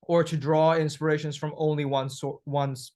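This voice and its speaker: A man from Thailand, a musician guest, answering interview questions thoughtfully with frequent pauses and restarts. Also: fairly high pitch, level tone, warm tone. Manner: thoughtful, hesitant, many restarts.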